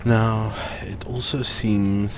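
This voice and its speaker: Slow, low-pitched male gamer commentating. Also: flat delivery, noisy background. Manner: hesitant, trailing off. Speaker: Let's Play gamer. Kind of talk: casual gameplay commentary. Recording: amateur commentary over game music.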